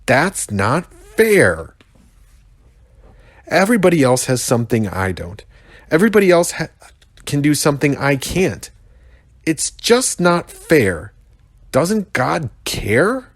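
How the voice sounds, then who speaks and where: Storybook rhino played by a man: big, sulky, self-pitying, muttering haltingly in a raised, swooping pitch. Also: very animated, no echo, exaggerated voice. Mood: sulky, indignant. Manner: muttering, halting, searching for words.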